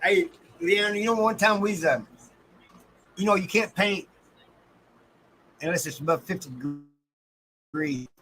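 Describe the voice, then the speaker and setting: Bright, higher-voiced American man chatting casually. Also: measured pace, pauses often, a little hiss or hum, poor audio. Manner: folksy, colloquial, addresses viewers by name. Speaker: livestream host. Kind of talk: casual livestream chat with viewers.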